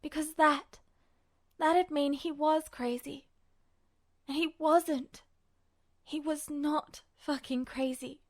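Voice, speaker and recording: A woman's voice: high-pitched, steady tone, slow, pauses often, no room sound, studio quality. A woman narrating fiction in a dramatic, emotive style, like an audiobook reader. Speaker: podfic reader.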